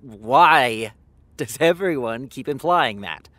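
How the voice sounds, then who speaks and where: Sardonic, unhurried man with swooping emphasis. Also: mid-range voice, expressive, sharp tone, no echo. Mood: defensive. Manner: dry, sarcastic, dramatic emphasis. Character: sardonic, defensive male TV showrunner, harried and theatrical. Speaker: actor in an audio drama. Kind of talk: naturalistic audio drama acting.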